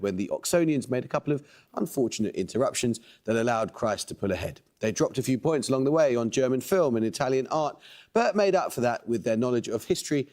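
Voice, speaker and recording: A man hosting a quiz show, reading questions and introductions with a measured, precise delivery. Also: medium pitch, darkish tone.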